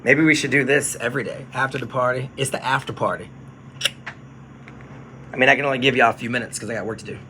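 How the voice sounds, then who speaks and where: A man who works as a radio DJ, chatting casually on a phone livestream from his studio, relaxed and explaining things to viewers. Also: medium pitch, quick pace, long pauses, a little hiss or hum.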